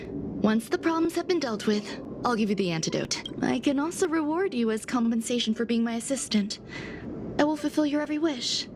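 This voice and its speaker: A woman playing a poised, enigmatic genius scientist in a video game, speaking formally in a measured, breathy way over music. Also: on the high side, expressive, noisy background, lightly acted. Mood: composed, courteous. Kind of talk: scripted game dialogue. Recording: music under the voice.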